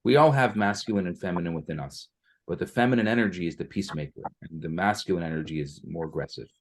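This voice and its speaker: A man teaching a class as rabbi on a video call, explaining in a calm, measured way. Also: low voice.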